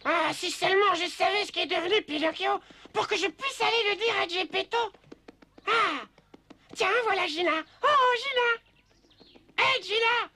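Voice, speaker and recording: A woman's voice: extremely high pitch, normal speed, bright, forceful tone. A woman voicing a small chatty bird in a French cartoon dub, teasing and inquisitive. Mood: concerned. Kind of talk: French animated series dub.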